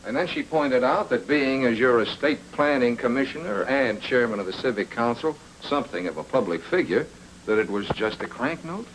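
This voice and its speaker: An actor playing a sardonic, deadpan private detective in a film noir, trading unhurried wisecracks. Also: male voice, medium pitch, slight background noise, close to an everyday voice, low-quality recording. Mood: dry skepticism. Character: wry, insolent male private detective for hire. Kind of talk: film noir screen acting. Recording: vintage film soundtrack, loud orchestral music.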